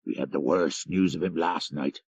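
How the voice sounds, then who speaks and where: A man's voice: extremely low pitch, normal speed, darkish tone, slightly rough, no room sound, full character voice. A man voicing a deferential, folksy Irish country driver telling local tales in an audiobook. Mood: grave, matter-of-fact. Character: rural Irish jarvey driver, deferential, gossipy storyteller. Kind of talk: audiobook character reading.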